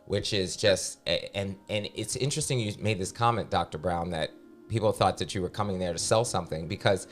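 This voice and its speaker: A low-voiced man hosts a podcast in a relaxed way. He asks curious questions and drops fun facts between his guest's stories, with faint music in the background. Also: fairly quick. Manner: curious, trivia-sharing questioner. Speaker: podcast host. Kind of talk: casual podcast interview.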